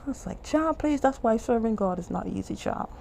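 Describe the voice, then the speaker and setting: Bahamian woman, casual testimony vlogger, lively storyteller with a faint hiss of noise behind her. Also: a little fast, gravelly. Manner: animated, acts out quoted lines in a much higher voice; restarts and trails off often.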